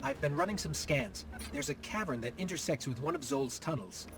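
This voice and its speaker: A man's voice: fairly high pitch, lively intonation, brisk, lightly acted. Man voicing an eager little robot companion. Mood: analytical. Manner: alert, reports scans and readings. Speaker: scout companion. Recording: game audio over loud battle noise.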